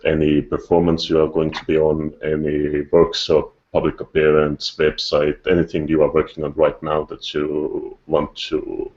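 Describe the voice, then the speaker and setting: A man's voice: a bass voice, a little mellow, dull audio. A man hosting a casual podcast in a dry, understated way. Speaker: podcast host.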